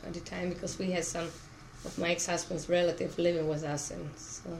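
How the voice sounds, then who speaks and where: A woman's voice: lowish voice, flat delivery, a little fast, low-quality recording. A middle-aged woman from Cuba, a Spanish speaker, answering questions in an oral history interview. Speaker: interviewee, auto plant worker. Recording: clear background noise.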